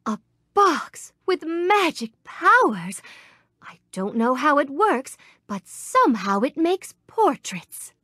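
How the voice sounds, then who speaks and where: A woman voicing a gentle young princess in a game, with a soft, sweet, refined voice and a polite, gracious way of talking, recorded close in a studio. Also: sits high, big pitch swings, takes it slowly, dry recording, exaggerated voice. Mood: grateful. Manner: polite, gracious, warmly grateful. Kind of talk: game voice clips. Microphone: close studio mic.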